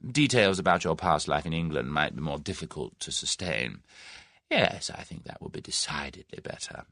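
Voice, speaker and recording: Man voicing a scheming businessman, suave, persuasive, low, lively pitch, audiobook. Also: normal speed, no room sound, clean studio sound, heavily acted. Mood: smooth persuasive. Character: scheming large businessman, smooth then menacing. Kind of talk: audiobook character reading.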